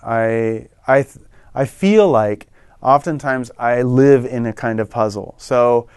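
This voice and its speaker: A middle-aged man talking in a relaxed, rambling way, often restarting his sentences, as a guest in an interview-style lesson. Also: mid-range voice, takes it slowly, clean tone, dry recording, clean studio sound. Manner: relaxed, rambling, self-correcting. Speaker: guest in a language lesson show. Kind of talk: casual interview chat.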